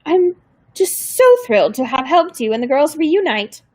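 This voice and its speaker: A shrill, stern old crone of a caseworker, as a woman reader puts her on. She barks orders in a raised, pinched voice, all threat under forced politeness. Dry, close storybook reading. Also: animated, normal speed, darkish tone, no room sound. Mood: fake-polite. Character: mean bossy old female caseworker.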